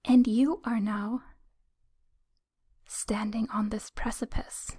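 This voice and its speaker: A woman leading a guided meditation in a soothing, gentle, breathy whisper, speaking in short phrases with long pauses. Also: a little high, little pitch movement.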